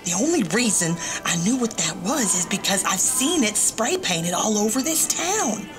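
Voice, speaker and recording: A sassy, chain-smoking diner waitress in middle age gossips in a folksy, wisecracking way, as a woman acts her out. Her voice bounces lively up and down. Music plays behind. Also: mid-range voice, normal speed, lightly acted, low-quality recording. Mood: chatty. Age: middle-aged. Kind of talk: audio drama acting.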